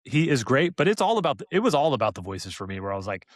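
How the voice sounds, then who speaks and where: A man with a mid-pitched voice talks casually as a podcast co-host. He is emphatic and often repeats a phrase for stress. The sound is close and dry, with very little room in it. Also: very animated, fast talker, no room sound. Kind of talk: casual review discussion.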